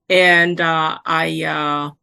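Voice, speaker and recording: A middle-aged woman from South Sudan, a native Arabic speaker, telling her career path in a halting way with many fillers and restarts. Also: low voice, vocal fry, pushed and bright, clean tone. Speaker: program alumna and epidemiologist. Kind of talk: recounting career path in a webinar.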